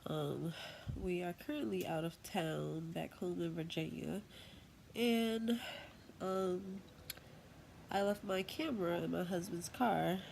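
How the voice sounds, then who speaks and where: A young woman with a cold talks straight to her tablet in a loose, rambling way, full of "um"s and "like"s. Her voice sits a little low and sounds stuffed up. A faint room hum stays underneath. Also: slow pace, creaky, long pauses, darkish tone. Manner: rambling, chatty, full of fillers. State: congested from a cold. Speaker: channel host. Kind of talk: casual vlog monologue. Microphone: tablet microphone.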